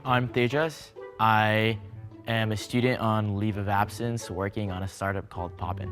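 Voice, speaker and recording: A man's voice: fairly low pitch, fairly even intonation, moderate pace, music in the background. A man, a student founding a startup, speaking in an articulate, analytical way.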